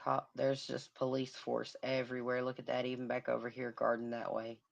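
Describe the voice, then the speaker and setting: Hoarse, gravelly woman livestream host. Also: extremely low pitch, completely flat delivery, fairly quick, dull audio. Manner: murmuring, sympathetic, hums to herself. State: hoarse, losing her voice. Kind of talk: casual livestream commentary on a crime case.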